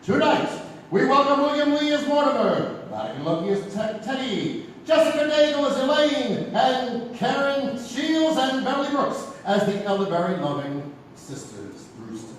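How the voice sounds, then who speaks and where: A man's voice: very high voice, very animated, moderate pace. A man announcing and narrating a stage play in a theatrical, scripted style. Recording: distant echoey theatre recording, loud music. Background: music.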